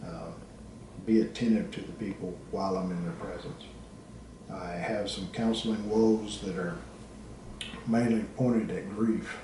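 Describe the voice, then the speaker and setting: A middle-aged man giving a personal, reflective presentation as a student, speaking haltingly in short phrases with pauses. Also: low voice, steady tone. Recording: very echoey, distant microphone, background noise.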